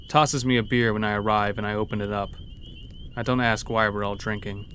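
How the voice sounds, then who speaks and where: A man's voice: fairly low pitch, a bit bright, slight background noise. A man narrating a scripted first-person horror story in a dramatic storytelling manner. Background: background music.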